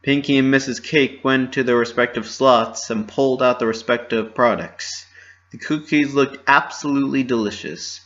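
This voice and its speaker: A man's voice: medium pitch, steady tone, moderate pace, fairly bright tone, lo-fi sound. A man narrating a story as a scripted dramatic reading.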